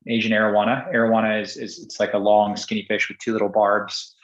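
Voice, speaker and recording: A man's voice: fairly low pitch, flat delivery, quick pace, echoey room, muffled recording. A man who grew up in Singapore, speaking as a guest on a podcast interview, rambling with many restarts and fillers. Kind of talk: casual interview conversation.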